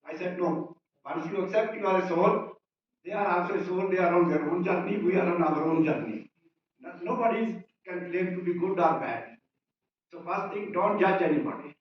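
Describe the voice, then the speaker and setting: A man from Singapore with a fairly high voice shares an earnest testimonial in short, plain sentences. The recording is heavily echoey and picked up from a distance, like a hall. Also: normal speed. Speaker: retreat participant sharing a testimonial. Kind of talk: informal public sharing. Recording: echoey hall, distant mic, dull sound.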